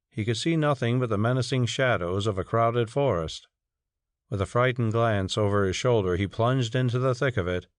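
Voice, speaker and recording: A man's voice: medium pitch, no room sound, clean studio sound. A man narrating a story as a scripted, dramatic audiobook reading.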